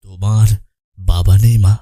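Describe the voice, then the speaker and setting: A man's voice: low-pitched, steady tone, a little slow, warm tone, no room sound, clean studio sound. A man voicing a kindly, grateful and pious widower in cartoon dialogue.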